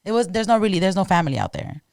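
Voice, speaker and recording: Smooth-voiced young host from Los Angeles. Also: female voice, mid-range voice, rapid-fire, no echo, studio quality. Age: 20s. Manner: slangy, laughs often, animated. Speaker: podcast host. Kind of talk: casual podcast chat.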